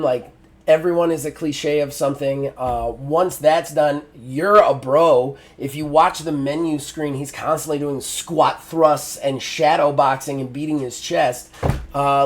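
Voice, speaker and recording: A middle-aged man giving a frank spoken game review, often exasperated, with lots of fillers and restarts. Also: on the high side.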